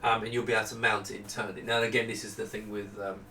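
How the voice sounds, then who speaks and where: A man's voice: on the low side, little pitch movement, sharp tone. Man in a roomy, distant recording. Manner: halting, thinking aloud, explanatory. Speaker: maker presenting a product prototype. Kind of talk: casual unscripted product walkthrough. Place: small home office room. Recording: roomy, distant mic, faint noise.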